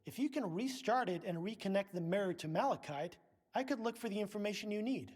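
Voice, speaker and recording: A man's voice: high-pitched, lively intonation, fairly quick, a little mellow, husky. A man playing a pompous scientist and research director in a video game, speaking formally, precisely and with condescension. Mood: measured, helpful. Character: pompous middle-aged male scientist, director of research center.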